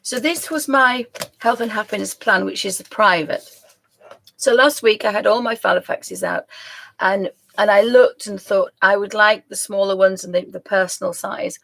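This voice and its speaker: A croaky woman hosts a home live stream, talking in a loose, rambling way that often breaks into laughs. Her voice has a gravelly, rough edge and sits at an ordinary middle pitch. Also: normal speed, a bit bright, low-quality recording. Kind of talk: casual live-stream chat.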